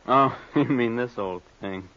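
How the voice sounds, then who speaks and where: A man's voice: mid-range voice, a little slow, lo-fi sound, natural voice. A man playing a small, smug hired gunman in an old-time radio drama, with over-polite, coy manners and feigned innocence. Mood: coyly polite.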